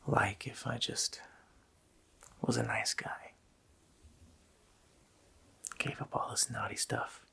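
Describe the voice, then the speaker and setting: A man voicing a gloating, child-eating Christmas demon in a gravelly, breathy whisper, drawling casually and menacingly in an ASMR roleplay. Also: mid-range voice, sharp tone, heavily acted. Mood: gleeful, menacing.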